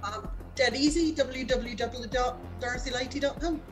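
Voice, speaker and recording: A woman's voice: sits high, normal speed, faint music behind. A woman chatting casually in an interview over a video call. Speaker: actor. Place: indoor room, on a video call.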